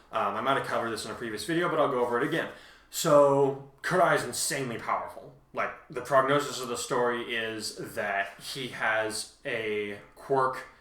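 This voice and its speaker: A man casually explaining as a co-host, halting but emphatic as he talks through his points. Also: medium pitch, pushed and bright. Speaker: co-host and fan fiction author responding to reviews. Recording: roomy, echoing sound.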